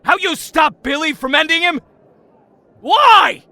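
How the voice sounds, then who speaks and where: A man's voice: very high-pitched, lively intonation, takes it slowly, pushed and bright, no echo, heavily acted. A man playing a drunk, bitter and jealous young ex-hero in an audio drama, with taunting, resentful outbursts. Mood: furious.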